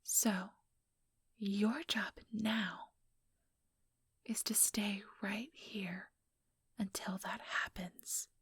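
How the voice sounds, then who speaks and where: A very breathy, whispering female vampire acted by a woman in an ASMR role-play. She speaks slowly and condescendingly, mock-sweet on the surface and coldly menacing beneath. The sound is close and dry. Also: mid-range voice, fairly even intonation, fairly bright tone, no echo, exaggerated voice. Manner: slow, taunting, mock-sweet. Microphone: close, dry microphone.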